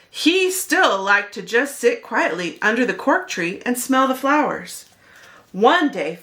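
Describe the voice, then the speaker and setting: A woman's voice: medium pitch, pushed and bright. A woman narrating a children's picture book expressively, as a read-aloud host speaking to young listeners.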